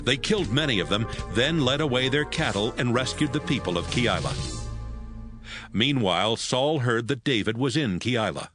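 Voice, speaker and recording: A man's voice: mid-range voice, expressive, moderate pace, over music. A man giving scripted narration of a Bible story as the narrator.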